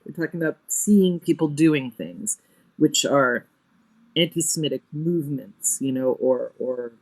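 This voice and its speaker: A woman's voice: low voice, unhurried, darkish tone, rough recording. A woman speaking as an interview guest, explaining carefully and correcting her wording as she goes. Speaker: interview guest, theatre director.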